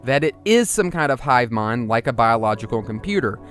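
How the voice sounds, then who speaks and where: A man's voice: medium pitch, very animated, a little fast, fairly bright tone. Man narrating a video essay over music. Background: music under the voice.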